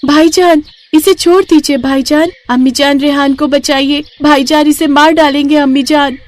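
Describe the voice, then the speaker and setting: A woman's voice: high-pitched, fairly even intonation, moderate pace, pitched above the performer's own voice, lightly acted. A woman voicing a pious, anxious eight-year-old sister in a children's cartoon story, with a pleading manner. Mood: frantic. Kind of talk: children's animated moral story acting.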